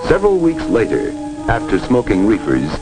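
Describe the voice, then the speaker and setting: A man's voice: fairly low pitch, wildly swooping pitch, moderate pace, poor audio. A man narrating a 1950s educational film in a grave, dramatic, moralizing manner. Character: stern moralizing narrator of a drug warning film. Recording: old film soundtrack with music. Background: music.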